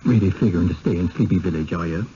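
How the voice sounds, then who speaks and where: A man's voice: deep voice, fast, mellow, lightly acted. An actor playing a blunt, folksy village man who speaks evasively in a radio drama. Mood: cagey warning. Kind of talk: vintage radio drama acting. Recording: dull, faintly noisy 1970s radio broadcast.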